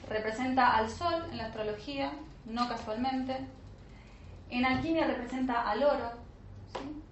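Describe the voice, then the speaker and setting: A woman with a fairly high voice gives an explanatory lecture, checking in with her students through short questions. The recording is roomy and echoey, with a slightly dull sound. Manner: didactic, frequent check-in questions. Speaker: teacher of an online spiritual alchemy course. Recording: roomy echo, dull lo-fi sound, faint noise.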